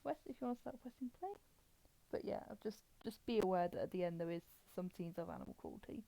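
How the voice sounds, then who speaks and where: Woman streamer chatting casually, soft dark voice, rambles while explaining stuff, very dry audio. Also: lowish voice, expressive, fast talker, vocal fry, dry recording, poor audio. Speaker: live stream host.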